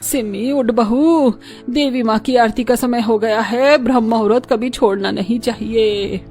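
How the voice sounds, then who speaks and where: A strict, old-fashioned mother-in-law in a cartoon, scolding sternly in a fairly high voice, as a woman voices her. Soft music plays underneath. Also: fairly even intonation, unhurried. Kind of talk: cartoon voice acting.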